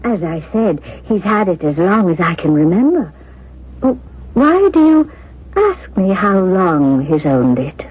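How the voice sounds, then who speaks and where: An actress playing a genteel, chatty, curious widow in a 1970s radio drama. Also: female voice, medium pitch, sing-song, measured pace, a little hiss or hum. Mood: curious, puzzled. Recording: muffled, thin vintage radio recording.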